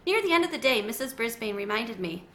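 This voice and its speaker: A woman reading a children's chapter book aloud with expressive, animated storytelling. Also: a little high, fast talker, slight background noise. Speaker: storybook reader. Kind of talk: children's read-aloud narration.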